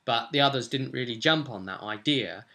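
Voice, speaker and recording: A man in his twenties with a bright, forward voice talks casually as a game master. He recaps a tabletop role-playing session in an explanatory, vlog-like way, with an ordinary male pitch. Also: moderate pace, gravelly. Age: 20s. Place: small cluttered room at home.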